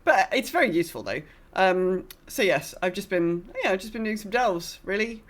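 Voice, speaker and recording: A woman's voice: medium pitch, lively intonation, normal speed. A woman hosting a gaming podcast, chatting casually in a rambling, self-deprecating way. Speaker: podcast host.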